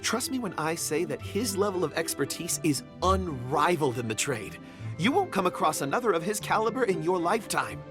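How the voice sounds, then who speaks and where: Animated, courteous gem enthusiast. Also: male voice, on the high side, a little fast, music in the background. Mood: admiring. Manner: polite, earnest, eloquent. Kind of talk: video game voice acting.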